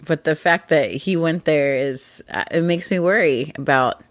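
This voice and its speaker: A woman co-hosting a podcast in casual chat, husky in her morning voice, chatty and laughing often. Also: sits low, measured pace, no echo. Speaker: podcast co-host.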